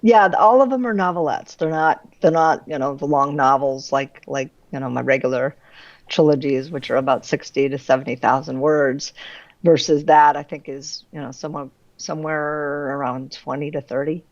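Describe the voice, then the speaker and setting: A middle-aged woman chatting casually as an author in an interview, animated and quick to laugh. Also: low-pitched, no echo, highs cut off. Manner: animated, laughs readily through her anecdotes.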